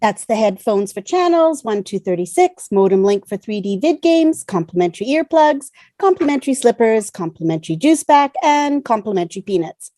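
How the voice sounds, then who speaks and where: Woman as a polite, mellow, animated space-shuttle hostess. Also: fairly high pitch, moderate pace, few pauses, dry recording, studio quality. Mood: brisk, dutiful. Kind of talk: fan table read over video call.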